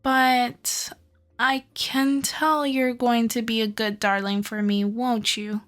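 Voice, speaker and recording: Casting: actress as lovesick female kidnapper for ASMR roleplay, high-pitched, unhurried, doting and softly controlling, music underneath. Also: little pitch movement. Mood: sweetly possessive. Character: obsessive, sweetly possessive young woman kidnapper in love.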